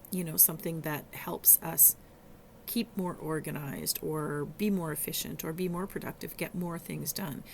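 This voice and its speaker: Hoarse, gravelly-voiced woman coaching listeners. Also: on the low side, a little hiss or hum. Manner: warm coaching tone, conversational with some halting restarts. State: hoarse, rough voice. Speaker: podcast host and virtual assistant coach. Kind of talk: solo teaching podcast.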